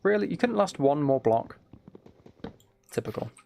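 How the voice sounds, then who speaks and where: Gravelly-edged man chatting on a game stream. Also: on the high side, big pitch swings, normal speed, a little mellow, a little hiss or hum. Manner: chatty, teasing, addresses viewers by name. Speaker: game livestreamer. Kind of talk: casual livestream chat while gaming.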